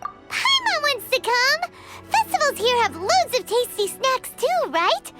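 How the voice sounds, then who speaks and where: A squeaky bubbly fairy sidekick. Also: female voice, slow, exaggerated voice. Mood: excited. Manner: talks about herself in third person, excitable. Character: tiny floating female fairy companion, bubbly and chatty. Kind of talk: video game voice acting. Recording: loud game music behind.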